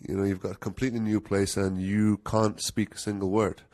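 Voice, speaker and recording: Lowish-voiced man from Algeria, relaxed. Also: fairly quick, dry recording, studio quality. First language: Arabic. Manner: relaxed, explanatory, with fillers. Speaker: guest, marketing analytics head. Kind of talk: podcast interview.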